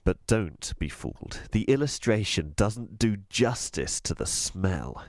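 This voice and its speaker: Very animated, low-pitched male storybook reader. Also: dry recording, clean studio sound. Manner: expressive, deliberate, emphatic delivery. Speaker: teacher reading aloud to a school class. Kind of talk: scripted storybook narration.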